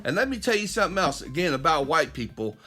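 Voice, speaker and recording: A man's voice: on the high side, big pitch swings, fast talker, sharp tone. A middle-aged man hosts a podcast, delivering an impassioned, preachy monologue straight at his listeners with frequent restarts. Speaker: podcast host. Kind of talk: opinionated monologue.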